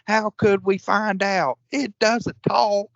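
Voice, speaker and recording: A man's voice: high voice, fairly even intonation, takes it slowly, creaky, dry recording, highs cut off, heavily acted. A man acting in a comedic audio play as a crude, hot-tempered old-school wrestler, folksy and profane. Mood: exasperated.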